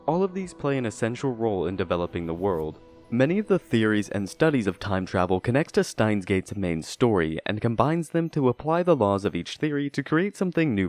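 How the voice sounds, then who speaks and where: A man narrates a scripted review in a fairly low voice. His delivery is measured and deliberate, like an analytical essay read aloud. Music plays faintly behind him. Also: a little mellow. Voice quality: low-pitched voice. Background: background music.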